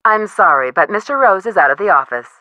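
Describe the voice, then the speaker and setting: An actress playing a polite, businesslike office receptionist in a video game, with a courteous, rehearsed phone manner and slightly muffled sound. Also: female voice, mid-range voice, animated, a little fast, bright, forceful tone, no room sound.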